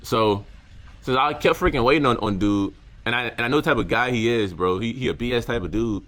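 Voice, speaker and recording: A young man with a deep voice, talking casually to camera in a reflective vlog, full of slang and repeated words. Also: brisk, a bit bright. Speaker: content creator.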